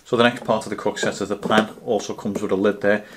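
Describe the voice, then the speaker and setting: Guy doing a camping gear review, lowish flat voice, explains it plainly, some noise behind him. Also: fast talker. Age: 30s to 40s. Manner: matter-of-fact, explanatory. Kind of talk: casual product review to camera.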